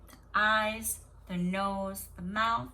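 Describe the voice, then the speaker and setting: A woman teacher reading a story aloud to young children in an expressive, deliberate, instructive way with dramatic pauses. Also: medium pitch. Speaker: kindergarten teacher.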